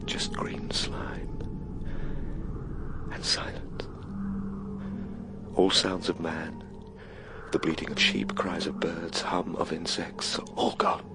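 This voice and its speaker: An actor playing a weary, bleak time traveller in a radio drama, speaking in halting, terse fragments with a breathy, gravelly voice. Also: male voice, sits low, steady tone, in a whisper, full character voice. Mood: bleak awe. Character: weary time traveller recording a last message at world's end.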